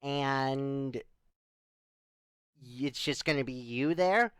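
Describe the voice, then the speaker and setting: A man playing a skeptical, dry-humored spaceship crewmate in improvised, conversational sci-fi roleplay, full of deadpan questions. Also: medium pitch, extremely slow, clear, resonant tone, no echo. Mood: skeptical, questioning.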